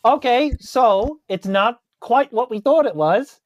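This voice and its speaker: A man, improvising casual role-play in a halting, politely apologetic way. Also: very high-pitched, lively intonation, no echo, muffled recording. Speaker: tabletop game player.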